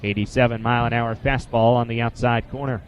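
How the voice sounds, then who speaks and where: A young man works as a play-by-play sportscaster on a radio baseball broadcast. His voice sits at a medium pitch. He describes each pitch in a measured way and fills the gaps with statistics. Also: fairly even intonation, slight background noise. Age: 20s.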